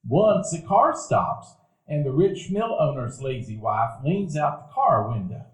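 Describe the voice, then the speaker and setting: A man narrating a live radio play calmly, as a grown man recalling his boyhood. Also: mid-range voice, a little slow. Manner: calm, reminiscent storytelling. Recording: roomy live stage recording.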